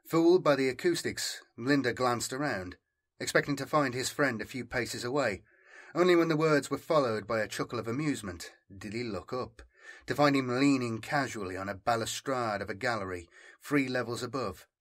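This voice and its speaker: A man's voice: mid-range voice, normal speed. A man reading scripted fiction as an audiobook narrator.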